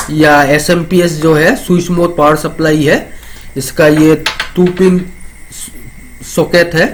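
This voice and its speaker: A man's voice: a little high, a little hiss or hum. A man giving an informal technical demonstration, explaining step by step and repeating phrases. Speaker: presenter of a product explainer.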